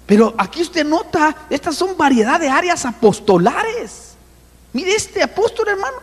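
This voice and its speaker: A man preaching a sermon with an animated manner, often calling out to his listeners as brother. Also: very high-pitched, moderate pace, in a large hall. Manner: animated, addresses listeners as brother, acts out voices. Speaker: apostle preaching.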